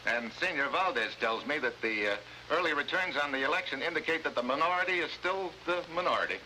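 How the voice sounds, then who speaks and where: A man's voice: fairly high pitch, animated, quick pace, bright, forceful tone. An American man playing a tough, wisecracking special agent in a 1950s adventure drama, with a sardonic, slangy delivery. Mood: wry, sardonic. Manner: hard-boiled, sardonic, slangy. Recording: faintly noisy vintage 1950s TV soundtrack.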